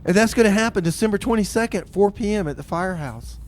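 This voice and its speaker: A man's voice: high voice, faint music behind. A man hosting community radio, laid-back and easygoing, drawling his words and calling people "man.". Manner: easygoing, drawn-out, says "man" a lot.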